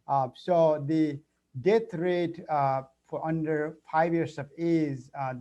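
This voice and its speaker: A man's voice: fairly high pitch, mellow. A man with a Nepali accent, a public health nurse speaking as an alumnus panelist on a video call, hesitant with many fillers. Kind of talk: virtual panel talk. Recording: muffled video call audio.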